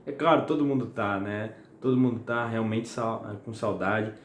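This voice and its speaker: A young man from the São preaches at home, slightly hoarse, in a chatty, friendly way that keeps checking in with his listeners. His voice rings in an echoey room with a faint hiss underneath. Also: fairly low pitch, moderate pace. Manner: chatty and friendly, asks "tá?" often. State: slightly hoarse and sweating in the heat. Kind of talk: casual home-recorded sermon. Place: home at night.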